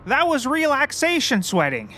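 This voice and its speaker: An actor playing a hapless, self-important and childish private eye in a scripted comedy audio show, earnest, excitable and oblivious. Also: male voice, very high-pitched, normal speed, a little hiss or hum, heavily acted.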